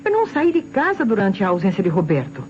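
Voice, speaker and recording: An actress playing an agitated, defensive wife under police suspicion, with a Brazilian accent, in an old radio detective drama. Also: female voice, mid-range voice, huge pitch swings, fast, a little mellow. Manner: emphatic, protesting. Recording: dull old radio recording, faint noise.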